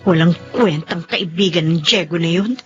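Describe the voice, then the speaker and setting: A woman acting an expressive, opinionated wife in a Filipino radio drama, with a Filipino accent. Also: lowish voice, normal speed, highs cut off, soft background music, lightly acted. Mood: indignant.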